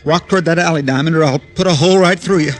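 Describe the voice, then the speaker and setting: A man's voice: fairly high pitch, racing, lightly acted. A man playing a nervous, threatening small-time racketeer in an old-time radio crime drama. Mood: tense menace. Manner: curt, threatening. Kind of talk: 1940s-50s radio crime drama acting.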